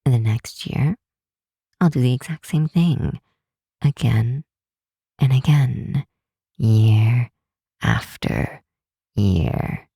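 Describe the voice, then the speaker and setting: A woman's voice: a bass voice, creaky, dark tone, breathy edge, dry recording, clean studio sound, heavily acted. A woman playing a cynical, sardonic but secretly affectionate grinch girl in an ASMR roleplay, drawing out words for emphasis. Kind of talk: ASMR roleplay, scripted acting to the listener.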